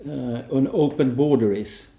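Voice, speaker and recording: A man's voice: medium pitch, measured pace, dark tone, lo-fi sound. A man speaking on a conference panel, hesitant and searching for words, restarting often. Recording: roomy conference room.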